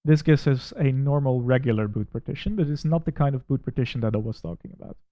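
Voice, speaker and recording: A man with a Dutch accent talks through a screen recording, steady and methodical, with pointed criticism. His voice sits at an ordinary middle pitch. The sound is dry and a little dull, like a simple home mic. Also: fast talker, dry recording. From Netherlands. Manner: critical, methodical, plainly spoken. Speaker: tech reviewer. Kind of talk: screen-recorded software walkthrough.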